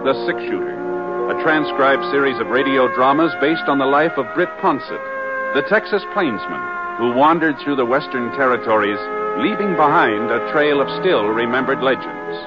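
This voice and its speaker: A male announcer introduces an old radio western in dramatic fashion. His pitch moves widely over loud music, and the recording is dull and aged. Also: expressive, normal speed.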